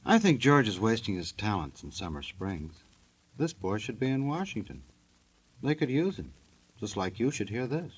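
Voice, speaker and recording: Husky, wry low-voiced radio announcer, male. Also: very animated, moderate pace. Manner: tongue-in-cheek, mock-formal. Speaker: announcer-narrator. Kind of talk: wry scripted 1950s radio narration.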